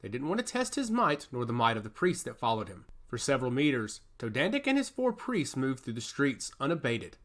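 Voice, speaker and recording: Male narrator for epic fantasy fiction, very lively pitch, emphatic dramatic read. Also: fairly quick, few pauses. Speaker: audio story narrator.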